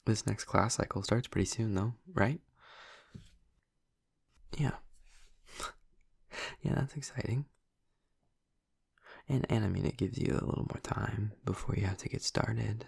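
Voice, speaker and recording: A man acting as a tender male vampire boyfriend in an intimate audio roleplay. His voice is very deep and thick with fry, breathy and soft. He talks slowly and tentatively, right up close to the listener in a dry recording. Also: no echo, heavily acted. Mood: caring, attentive. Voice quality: husky, breathy, creaky. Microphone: close, dry microphone.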